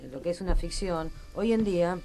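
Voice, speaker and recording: A woman's voice: fairly low pitch, lively intonation, fairly quick, gravelly, mellow. A woman with an Argentine Rioplatense accent hosting a radio show in a casual, chatty style. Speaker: radio host.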